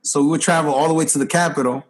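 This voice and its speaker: Husky easygoing man from New York. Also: fairly high pitch, steady tone, rapid-fire, rough recording. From New York, United States. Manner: easygoing, asks questions, hesitant restarts. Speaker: podcast host. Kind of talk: casual podcast conversation.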